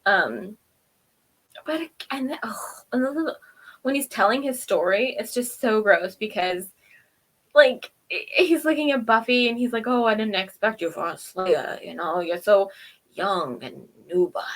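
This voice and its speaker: Woman, fairly high pitch, sharp bright tone, casual review chat, giggly, informal, exasperated asides. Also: animated, unhurried. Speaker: co-host of a TV review show. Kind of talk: casual chat, recapping and critiquing a TV episode.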